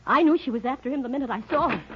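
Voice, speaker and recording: High-voiced, desperate American wife. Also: female voice, racing, breathy edge. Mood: accusing. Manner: insistent, emotional. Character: desperate, jealous young wife, pleading and accusing. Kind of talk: 1950s radio drama acting. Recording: muffled, lo-fi 1950s radio recording.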